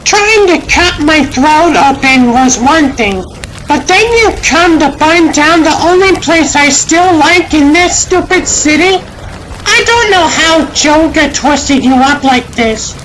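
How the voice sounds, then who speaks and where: A woman's voice: high-pitched, expressive, takes it slowly, a bit bright, exaggerated voice, low-quality recording. A woman voicing a feisty, wisecracking young antiheroine in a comic dub, sassy and exasperated. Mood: sassy defiance. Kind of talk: fan comic dub acting. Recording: clear noise behind voice.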